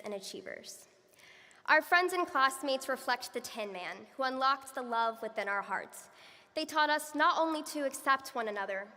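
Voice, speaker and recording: A young woman, a student, giving a graduation speech in an earnest, measured way. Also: a little high, bright, forceful tone. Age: young adult. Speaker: student speaker. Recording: echoey hall from far off.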